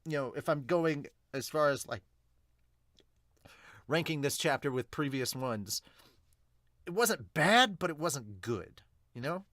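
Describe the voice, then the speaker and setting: A man's voice: fairly high pitch, animated, unhurried, pauses often, a bit bright, no echo. Casual, rambling man reviewing manga, dry recording. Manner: rambling, informal, sweary. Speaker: podcast host reviewing manga. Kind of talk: casual unscripted reaction and review.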